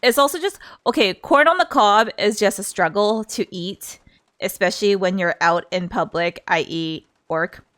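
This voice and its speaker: A woman's voice: medium pitch, normal speed, a bit bright. A woman livestreamer chatting casually in a playful, giggly, rambling way. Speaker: streamer.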